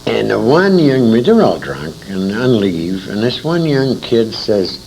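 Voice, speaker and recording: Older American man, deep gravelly storyteller. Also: sing-song, unhurried, a little mellow, slight background noise, rough recording. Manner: salty, emphatic, repeats phrases for effect. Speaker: interview guest, old-time radio veteran. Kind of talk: animated anecdotal storytelling.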